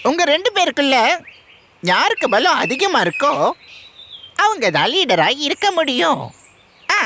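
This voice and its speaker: A woman's voice: sits high, sharp tone, slight background noise, full character voice. A woman voicing a sly, goading villain eagle in a children's cartoon, theatrical and insistent. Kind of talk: Tamil children's cartoon dubbing.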